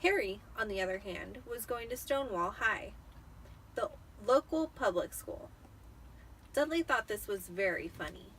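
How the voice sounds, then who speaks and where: A tipsy woman reading a novel aloud in a theatrical, expressive storytelling style. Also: mid-range voice, a little slow, distant mic, a little hiss or hum, rough recording. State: tipsy from wine. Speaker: amateur storybook reader.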